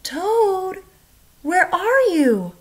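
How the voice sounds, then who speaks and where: A woman voicing an eager, bossy, cheerful frog friend from a children's storybook, with an excited manner. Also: extremely high pitch, drawn-out words, voice pushed up, full character voice. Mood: excited, calling. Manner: excited, calling out loudly.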